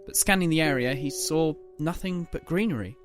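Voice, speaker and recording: A man's voice: a little high, normal speed, faint music behind. A man reading scripted, dramatic story narration as the narrator.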